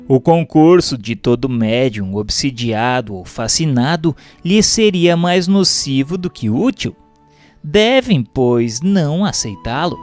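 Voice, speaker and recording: A man with a Brazilian accent giving a formal, measured, didactic scripted reading of a religious text as a narrator. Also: a little high. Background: background music.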